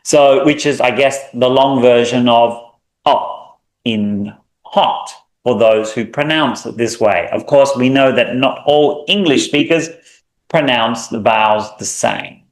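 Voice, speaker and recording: A man's voice: mid-range voice, slow. A man with a mild Australian accent teaching a language lesson, explaining patiently, drawing out example sounds, with small hesitations. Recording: echoey room.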